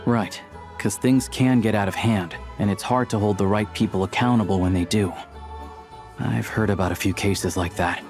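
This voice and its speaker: A practical young male hunter from a video game, acted by a man. His voice is fairly low, with an easygoing, friendly and thoughtful way of talking, over faint music. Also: fairly even intonation, normal speed, a little mellow, natural voice. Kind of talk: English game dub dialogue.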